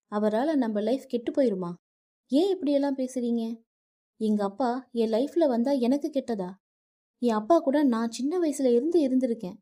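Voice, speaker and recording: A woman voicing an angry young wife who shouts at her husband and later pleads through tears. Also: medium pitch, dry recording, clean studio sound, voice pushed up, lightly acted. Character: young wife, hurt and defiant.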